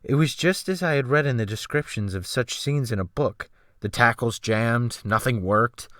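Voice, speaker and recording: A man's voice: mid-range voice, fairly bright tone, no room sound, clean studio sound. A man giving a dramatic, theatrical first-person reading of literary fiction as an audiobook narrator. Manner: theatrical, earnest, deliberate phrasing. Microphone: Blue Snowball USB microphone.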